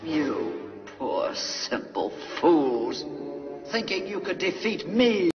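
A woman playing a scornful, gloating sea witch in an animated film, mocking and drawing out the words. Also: low-pitched, over music, exaggerated voice. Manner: mocking, drawn-out. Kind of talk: animated film villain acting. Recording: muffled, distant, cavernous reverb film clip.

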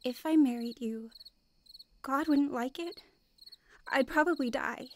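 An actress playing an anxious, earnest young wife terrified of death, in a radio drama. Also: female voice, sits high, measured pace, a little hiss or hum. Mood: worried. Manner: earnest, fretful. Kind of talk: radio drama acting and narration.

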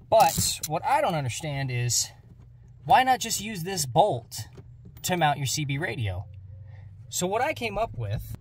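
A young American man giving instructional how-to narration as host of a DIY car channel. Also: on the high side. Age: young adult. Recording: clear background noise, clicks and jangling.

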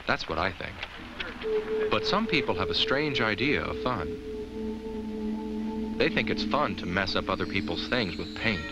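An actor playing a kindly, earnest talking jack-o'-lantern who narrates a children's safety film with simple, reassuring explanations. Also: male voice, on the low side, big pitch swings, unhurried, long pauses, loud music behind the voice.

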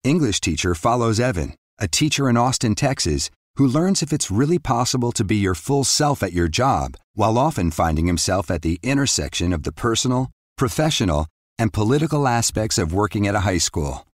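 A man's voice: lowish voice, big pitch swings, fairly quick, dry recording, studio quality. A man reading a scripted television promo as an advert announcer.

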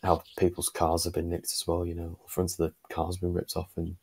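A man's voice: sits low, completely flat delivery, a little fast, vocal fry, darkish tone, husky. A man co-hosting a casual fan podcast over a video call, thinking aloud with plenty of ums. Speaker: podcast co-host. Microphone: video call with earphones.

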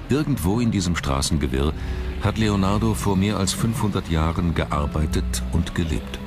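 Very deep male documentary narrator. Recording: music under the voice. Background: background music.

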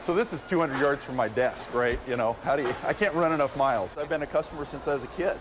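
A middle-aged man with an American accent, a company chief explaining things conversationally and haltingly during a casual tour interview. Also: medium pitch. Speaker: company president and CEO. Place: large indoor candy factory. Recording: noisy factory floor behind voice.

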